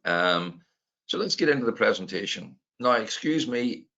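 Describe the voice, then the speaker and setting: A Northern Irish man in his fifties gives a webinar talk over a video call. His low voice is gravelly and a little croaky from a sore throat, and the audio sounds dull and lo-fi. He explains things patiently and often restarts his words. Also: expressive, normal speed, sharp tone. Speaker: trading mentor presenting. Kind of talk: webinar presentation.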